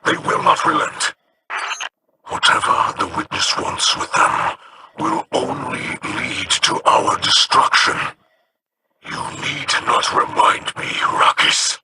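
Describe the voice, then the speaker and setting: A man voicing a stern, weathered alien captain in a video game, speaking formally and deliberately with a gravelly voice. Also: medium pitch, fairly even intonation, background noise, natural voice. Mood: grave, urgent. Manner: slow, formal, deliberate speech. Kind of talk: video game character acting.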